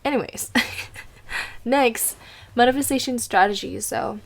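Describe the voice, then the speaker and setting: A woman's voice: fairly high pitch, unhurried, vocal fry. A woman in her twenties with an Indonesian accent, who speaks English as a second language. She hosts her podcast in a rambling, giggly casual chat with many restarts, and she sounds teary after crying. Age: 20s. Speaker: podcast host.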